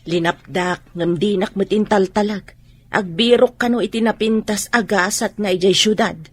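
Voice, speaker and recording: Woman acting middle-aged rural mother, Ilocano accent, husky, medium pitch, scolding, worried, exasperated, radio drama. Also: fairly even intonation, moderate pace, close to an everyday voice.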